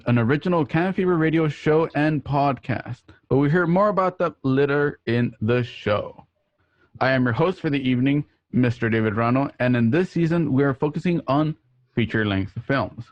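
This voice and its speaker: A man's voice: mid-range voice, normal speed, no room sound. A man hosting a radio show, presenting the intro in an announcer-like way. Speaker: host. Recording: dull lo-fi home recording.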